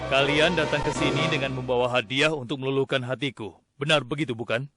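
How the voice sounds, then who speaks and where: A man playing a stern, dignified and devout prophet-king in an animated story, with solemn, declamatory, formal phrasing. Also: mid-range voice, fairly quick, lightly acted. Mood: calm, knowing. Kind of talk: dubbed animated religious story acting. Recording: loud music under the voice.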